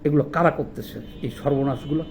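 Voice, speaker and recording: A man's voice: on the high side, a little fast, a little mellow, slight background noise. A man with a Bangladeshi accent delivering an impassioned political monologue, indignant and emphatic, often putting rhetorical questions. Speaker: political commentator.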